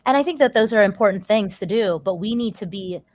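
A woman's voice: mid-range voice, a little fast, darkish tone, no echo. An articulate, assertive woman speaking earnestly in a panel discussion.

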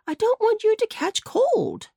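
High fussy muskrat lady, motherly, woman reading her, slow careful talk. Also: animated, gravelly, dark tone, no room sound, clean studio sound, full character voice. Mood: caring.

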